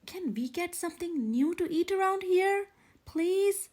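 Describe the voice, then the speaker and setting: A woman's voice: extremely high pitch, expressive, a little slow, a little mellow, voice pushed up. A woman voicing a big brown male rooster in a storybook, fed up but proud, with an emphatic, exclaiming manner.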